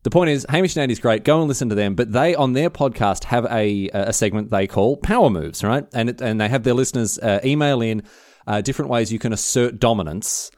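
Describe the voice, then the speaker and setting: A man's voice: medium pitch, fairly quick, darkish tone, no room sound. A young man with a city Australian accent chats and jokes as a podcast co-host, often restarting his sentences. The sound is close and dry, with no room around him. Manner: chatty, joking, many restarts. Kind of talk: casual chat.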